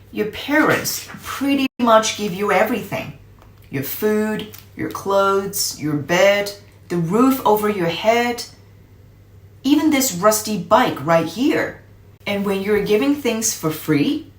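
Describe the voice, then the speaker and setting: A woman's voice: medium pitch, pitched above the performer's own voice, natural voice. Woman reading as a firm uncle, echoey room. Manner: firm, instructive, emphatic. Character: stern, no-nonsense uncle lecturing his young nephew. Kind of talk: storybook read-aloud dialogue. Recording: roomy echo, faint music behind.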